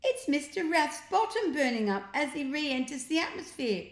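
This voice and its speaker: A woman's voice: high voice, sing-song, normal speed, voice pushed up. A woman voicing a cheeky, sarcastic young schoolboy in a children's storybook, chirpy and commanding, in a roomy recording. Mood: bold, cheeky. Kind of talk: children's storybook read-aloud.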